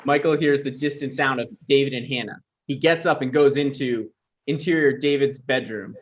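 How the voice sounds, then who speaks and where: A man's voice: mid-range voice, normal speed. A man reading stage directions as the narrator of a scripted table read. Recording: dull, lo-fi sound.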